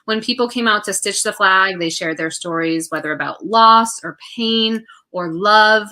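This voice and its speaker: A woman with a clearly bright, forward voice delivers a prepared video message. She is articulate and earnest, speaking at a medium pitch as an author addressing viewers. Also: normal speed. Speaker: children's book author and art teacher.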